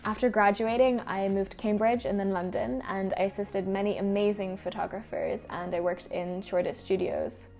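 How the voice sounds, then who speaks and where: Irish woman with a creaky edge. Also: medium pitch, fairly even intonation, normal speed, few pauses, darkish tone, soft background music. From Ireland. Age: around 30. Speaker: freelance photographer presenting a vlog. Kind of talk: personal vlog narration.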